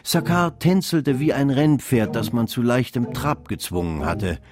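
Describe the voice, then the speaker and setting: A man's voice: medium pitch, measured pace, music in the background. A man narrating a literary radio play in scripted, storytelling fashion.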